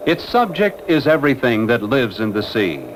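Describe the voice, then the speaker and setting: A man's voice: mid-range voice, very animated. Formal 1960s educational film narrator, male, noisy soundtrack. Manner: formal, measured, expository.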